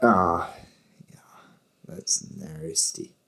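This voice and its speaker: A man's voice: low-pitched, drawn-out words, fairly bright tone, whispered. A man hosting a podcast in casual chat from a bedroom, rambling and joking and swearing freely. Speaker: podcast host.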